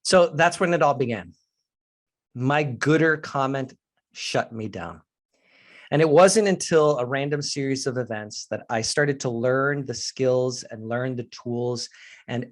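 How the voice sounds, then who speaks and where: A man's voice: mid-range voice, unhurried. Earnest man from Mexico, leading a workshop. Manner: earnest, candid, addresses the audience directly. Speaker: leadership trainer and professional speaker. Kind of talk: live online workshop presentation.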